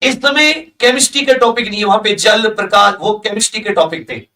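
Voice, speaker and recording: A man speaks in a very high-pitched voice as a coaching teacher. He goes through a list item by item, dropping in English technical terms. The room is clearly echoey and he sounds some distance from the microphone. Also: expressive, brisk, pushed and bright. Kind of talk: lesson, reading through a syllabus list with brief comments.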